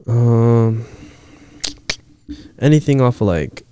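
A man's voice: medium pitch, animated, takes it slowly, dark tone, slight background noise. A young man co-hosting a podcast in casual chat, joking loosely, laughing a lot and swearing freely. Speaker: podcast co-host.

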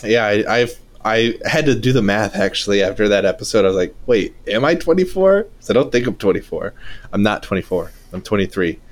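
A twenty-three-year-old man co-hosting a casual podcast, telling his story at a relaxed, steady pace with filler "uh"s. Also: on the low side, a little fast. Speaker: podcast co-host.

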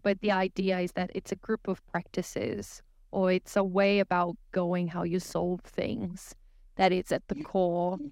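A woman's voice: mid-range voice, darkish tone, no room sound. A woman with a Brazilian accent and native Portuguese, explaining things conversationally as a podcast guest.

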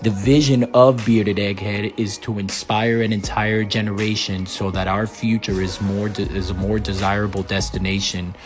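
A man in his thirties, from Puerto Rico, hosting a solo podcast and telling his life story in an earnest, measured way with frequent "uh" and "um" fillers. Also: fairly low pitch, flat delivery, soft background music. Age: 30s. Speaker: podcast host.

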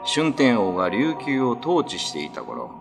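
A man's voice: mid-range voice, wildly swooping pitch, measured pace, gravelly. A man narrating a scripted horror tale in a dramatic storytelling style. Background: background music.

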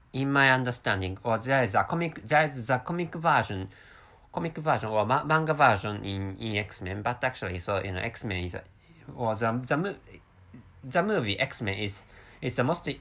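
Halting Japanese-accented man in his thirties. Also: medium pitch, animated, bright, forceful tone. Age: 30s. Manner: halting, many restarts and repeated words. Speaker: podcast host. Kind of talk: rambling solo podcast talk.

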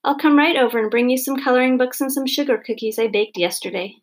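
A woman's voice: high voice, fast talker, voice pushed up. A woman voicing a flustered, anxious housewife in a storybook, pleading and easily upset. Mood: apologetic.

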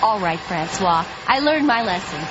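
A woman's voice: mid-range voice, lively intonation, moderate pace, natural voice. An actress playing a sincere, reflective teenage girl in a radio drama. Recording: dull radio recording with loud noise.

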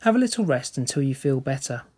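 A man reading aloud, voicing a calm mother who soothingly brushes off her daughter's worries and calls her dear. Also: mid-range voice, fast, slightly rough. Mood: placid. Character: calm patient middle-aged mother, unbothered.

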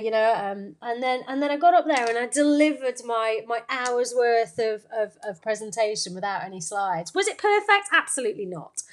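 A woman hosting a podcast, talking through a motivational story in an unscripted, rambling way full of fillers and restarts. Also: on the high side. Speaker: podcast host.

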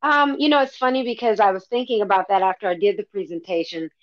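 A woman's voice: mid-range voice, fast, dry recording. A woman interview guest answering casually, feeling for her words, on a slightly dull, lo-fi connection.

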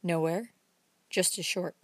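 A woman's voice: fairly low pitch, fairly even intonation, unhurried, slightly rough, no echo. A woman voicing a guarded, bitter young drifter, reading an audiobook. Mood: curt.

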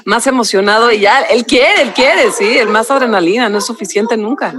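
A woman's voice: a little high, moderate pace, a crowd in the background. A woman chatting casually as an interviewer. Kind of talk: casual chat.

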